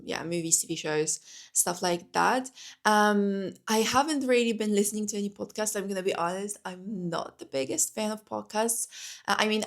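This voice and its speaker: A woman's voice: fairly low pitch, gravelly. A young woman whose first language is Polish, vlogging casually to camera from home, chatty, with many fillers and restarts. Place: indoors at home.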